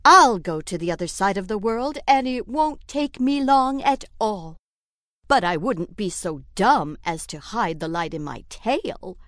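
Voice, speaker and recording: A woman playing a vain, boastful male buzzard from a storybook, a little higher and louder than her narration. He brags slowly about his own beauty. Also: animated, no room sound, studio quality.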